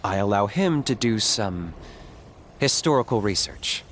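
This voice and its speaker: Casting: a cool-headed, low-voiced hunter, even and pragmatic. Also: male voice, slight background noise. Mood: flat. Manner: measured, matter-of-fact. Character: calm young male hunter, pragmatic and reserved.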